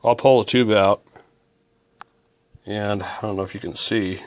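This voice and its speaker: Casual male hobbyist presenter with a lowish voice, talking through a hands-on repair as thoughts occur. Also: steady tone, a little slow. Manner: musing, thinking aloud.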